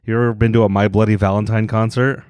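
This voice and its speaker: Man, fairly low voice, deadpan teasing host, dry close mic. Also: fast talker, clear, resonant tone, no room sound. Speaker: podcast host. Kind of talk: casual podcast chat.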